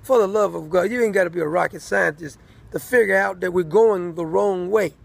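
A man with a high voice full of heavy gravel delivers a rambling political rant. He hammers points by repeating them, and his tone is husky and rough. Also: fairly quick. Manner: repetitive, emphatic.

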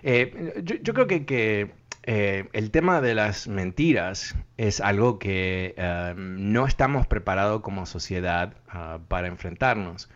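A man with a fairly low voice hosts a radio talk show and gives earnest commentary. He thinks aloud and fills his pauses with hesitations. The broadcast sounds muffled and lo-fi. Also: lively intonation. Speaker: radio host.

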